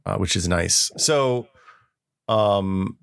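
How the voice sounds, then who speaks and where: Man with a lowish voice, chatting. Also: extremely slow, vocal fry, a bit bright, clean tone. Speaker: podcast co-host. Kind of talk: casual chat.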